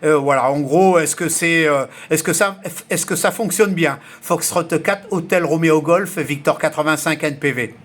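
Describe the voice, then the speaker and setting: A man's voice: on the high side, normal speed, hardly any pauses, fairly bright tone, a little hiss or hum. A man speaking as an amateur radio operator in an informal, explanatory chat, with plenty of filler words. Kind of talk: casual radio contact chat, reciting callsigns phonetically.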